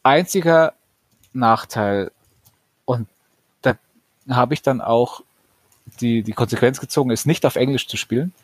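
Guy on a gaming podcast, mid pitch, dry close sound, hyped and swearing, lots of restarts. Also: a little slow, long pauses, no room sound. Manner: enthusiastic, swears casually, frequent restarts. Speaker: podcast co-host. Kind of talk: casual chat.

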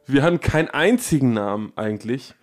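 A man's voice: medium pitch, lively intonation, brisk. A man co-hosting a casual comedy podcast, speaking with mock seriousness. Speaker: podcast co-host.

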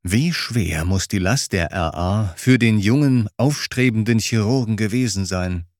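A man narrating a scripted documentary story in a measured, clearly articulated way. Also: fairly low pitch, darkish tone, no echo, clean studio sound.

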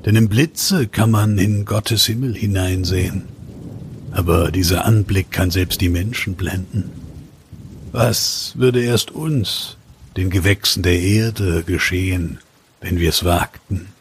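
A man's voice: low voice, slow pace, slight background noise. A man voicing a venerable old willow tree in a fairy-tale audio play, solemn and cautioning. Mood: solemn warning. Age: older. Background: rain and thunder.

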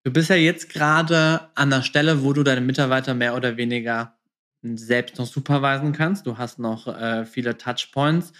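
A man's voice: mid-range voice, fairly even intonation, normal speed, a bit bright. Male podcast host, mid-range voice, informal interview style with English business buzzwords sprinkled in. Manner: informal, mixes English business jargon into his speech.

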